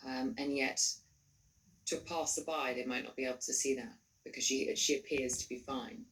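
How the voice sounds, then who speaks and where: Very low-voiced actress, thoughtful, on a video call. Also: female voice, steady tone, normal speed, slight background noise. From England. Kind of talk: panel Q&A answer. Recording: distant video call audio.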